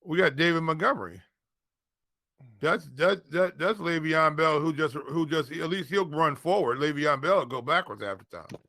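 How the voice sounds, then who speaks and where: A man's voice: on the high side, vocal fry, bright, forceful tone, dry recording, studio quality. An American man chatting casually as a fantasy football analyst, often repeating words as he works through his thoughts. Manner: halting, repeats words and phrases. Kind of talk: casual sports chat.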